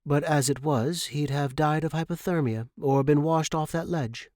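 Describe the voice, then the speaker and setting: A male narrator puts on a brisk, caring woman who looks after dragons in a storybook tale. The voice is lifted above the narration, firm and gently scolding, in a dry recording. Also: a little high, steady tone, no echo, voice pushed up, lightly acted. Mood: approving.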